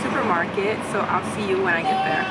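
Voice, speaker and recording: Young woman vlogging to her camera, soft and candid, lots of ums, loud street and traffic noise behind her. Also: mid-range voice, big pitch swings, brisk. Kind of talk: casual vlog talk to camera. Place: busy public places in a city, on a bus and in a crowded supermarket. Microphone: handheld self-filming camera. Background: crowd chatter and traffic noise.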